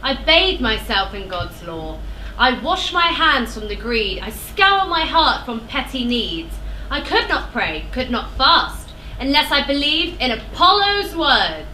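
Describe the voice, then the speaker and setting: Woman acting a bright, echoey tragic chorus voice. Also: sits high, very animated, a little slow, full character voice. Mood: devout fervour. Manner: earnest, declamatory. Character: devout, solemn counselling member of tragic chorus. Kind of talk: classical tragedy stage acting. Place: indoor theatre stage. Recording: echoey theatre, faint background noise.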